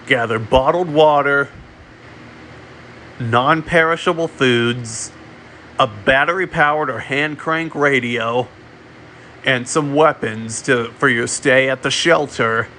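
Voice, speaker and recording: A man delivering a mock emergency alert in a halting way, often repeating and restarting his phrases. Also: medium pitch, sharp tone, slight background noise. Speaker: amateur narrator of a fictional emergency broadcast. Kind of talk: mock emergency alert announcement, semi-improvised.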